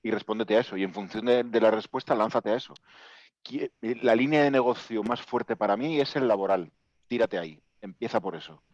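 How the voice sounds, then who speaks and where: A man's voice: mid-range voice, brisk, a little mellow, no echo, highs cut off. A man from Bilbao who runs a company, giving direct, encouraging advice in short commands on a video call panel. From Bilbao, Spain. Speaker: company CEO. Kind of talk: webinar panel answer.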